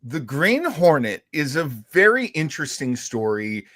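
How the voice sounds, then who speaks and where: A man hosts a casual storytelling show in a very animated voice. He takes his time, leaning on points with deliberate repetition. The sound is close and dry. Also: fairly high pitch, no echo. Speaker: radio show host.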